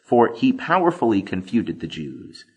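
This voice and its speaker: A man reading scripture as scripted audiobook narration, with expressive, deliberate emphasis. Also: on the low side, breathy edge. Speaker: scripture reader.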